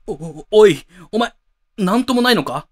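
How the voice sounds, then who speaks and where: A man's voice: high voice, lively intonation, normal speed. A man playing a bossy, grandiose student club president in a comedic voice drama, with a pompous, commanding delivery. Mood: astonished. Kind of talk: comedic anime-style voice drama acting. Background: background music.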